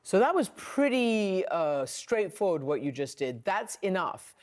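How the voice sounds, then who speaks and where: A woman's voice: fairly low pitch, very animated, unhurried, darkish tone. A woman news interviewer asking direct, probing questions.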